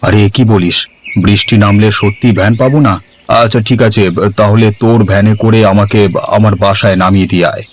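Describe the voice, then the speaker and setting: A man's voice: on the low side, fairly even intonation, fast talker, a little hiss or hum, close to an everyday voice. A man voicing a haughty, anxious rich village husband in a cartoon story, fussy and exclamatory. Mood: worried. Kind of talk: Bengali cartoon story voice acting.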